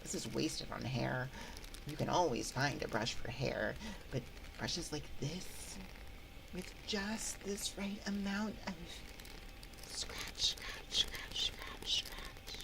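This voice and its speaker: A woman voicing a friendly, possessive monster from under the bed in an ASMR roleplay, coaxing softly and often whispering into a close binaural microphone. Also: low voice, slow pace, gravelly, heavily acted, poor audio. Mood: soothing. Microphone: binaural 3Dio microphone, close up.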